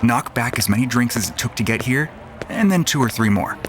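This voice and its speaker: A man's voice: mid-range voice, animated, a little fast. A man reading first-person sci-fi narration in a brooding, hard-boiled manner. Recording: loud music under the voice.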